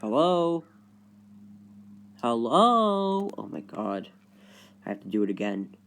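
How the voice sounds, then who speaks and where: A man's voice: mid-range voice, big pitch swings, slow, vocal fry, a bit bright. A man chatting casually as a video creator, with informal, hesitant and repetitive phrasing. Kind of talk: casual chat, reacting to radio channels.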